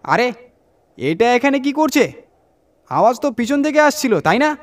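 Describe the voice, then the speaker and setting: Bewildered male trucker's mate for horror audio drama, acted by a male narrator, very high strained pitch, puzzled questioning. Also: sing-song, a little slow, full character voice. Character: Dhiraj, confused, startled male trucker companion.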